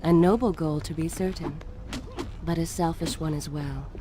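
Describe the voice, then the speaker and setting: Woman as a low-voiced, solemn storytelling empress. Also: fairly even intonation, lightly acted. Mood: knowing, wistful. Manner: solemn, measured. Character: solemn, all-knowing dead empress narrating the hero's tale. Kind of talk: dramatic video game storytelling narration. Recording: loud music behind the voice.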